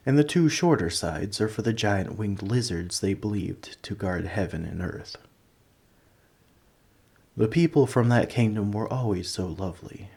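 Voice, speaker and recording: A man voicing an ancient, gentle, nerdy dragon in an ASMR roleplay, rambling in a politely formal, scholarly way. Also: on the low side, breathy edge. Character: ancient, gentle, nerdy male dragon who collects coins. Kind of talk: scripted ASMR roleplay, speaking to the listener.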